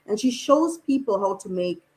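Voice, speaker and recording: A woman guest on a radio panel who runs an online shop, speaking with enthusiasm and many halting restarts. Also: medium pitch, expressive, a little mellow.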